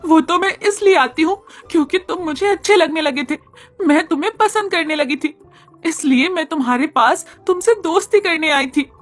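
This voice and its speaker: Lady doing a sweet friendly young cow, high voice, coaxing, cartoon fable w/ music behind. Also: normal speed. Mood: coaxing, tender. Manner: pleading, coaxing.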